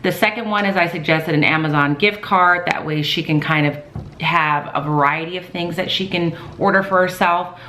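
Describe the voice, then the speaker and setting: A woman in her thirties, a teacher, chatting casually in a calm, soft-spoken way with many fillers. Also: fairly low pitch, moderate pace, slight background noise. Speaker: teacher vlogger. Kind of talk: casual vlog talk. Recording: echoey room.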